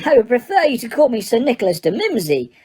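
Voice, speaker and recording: A woman's voice: fairly high pitch, sing-song, quick pace, darkish tone, breathy edge. A woman voicing a formal, melancholy old ghost of a nobleman. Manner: formal, stiff, sad.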